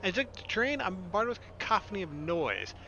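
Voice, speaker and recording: Lively, higher-voiced man doing a let's-play. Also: fast, creaky, highs cut off, slight background noise. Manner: joking, sarcastic asides. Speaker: gaming video host. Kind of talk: casual let's-play commentary and read-aloud narration. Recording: game music behind the voice.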